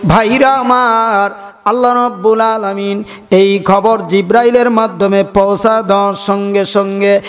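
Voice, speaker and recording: A man preaching a melodic, half-chanted storytelling sermon with impassioned, drawn-out calls to his listeners. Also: sits high, flat delivery, soft background music. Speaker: Islamic preacher. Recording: long hall reverb, loud PA sound.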